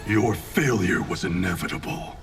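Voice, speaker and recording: An actor playing a proud, stern warrior clan grandmaster in a video game, curt and commanding. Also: male voice, lowish voice, normal speed, recorded from a distance, music in the background, close to an everyday voice. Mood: disdainful. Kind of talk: video game cutscene acting.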